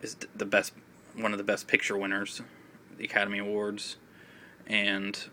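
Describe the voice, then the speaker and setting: A man with a raspy voice and a cough, chatting in a casual, rambling way about films with many fillers. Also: on the low side, monotone, moderate pace, sharp tone, slight background noise. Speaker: film vlogger. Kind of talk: casual video chat. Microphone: phone.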